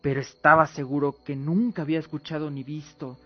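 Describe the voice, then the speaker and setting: A man's voice: on the high side, steady tone, a little fast. Male narrator for fantasy radio drama, dramatic scripted storytelling, a little muffled, music behind. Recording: dull lo-fi with music underneath.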